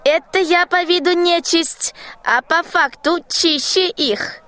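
Девушка играет Бабу-ягу, очень высокий голос. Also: female voice, extremely high pitch, slow pace, sharp tone, exaggerated voice. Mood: self-justifying. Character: sly, self-justifying old witch Baba Yaga. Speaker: school student actor. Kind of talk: rhymed fairy-tale radio play acting.

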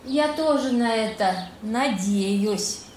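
Wistful, wry young romantic heroine played by a woman, high voice, unhurried delivery, radio-play acting in an echoing room. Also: lively intonation, a little mellow. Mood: coy. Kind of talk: amateur audio play acting. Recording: roomy echo, noisy birdsong ambience.

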